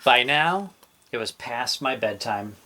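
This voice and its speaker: A man reading a children's story aloud as an expressive storyteller. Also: medium pitch, takes it slowly, a little hiss or hum.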